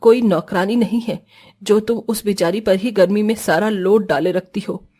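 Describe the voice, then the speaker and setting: A woman's voice: medium pitch, steady tone, moderate pace, mellow, close to an everyday voice. A woman voicing a stern, scolding mother-in-law who commands her household in a cartoon family drama. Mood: scolding, sarcastic.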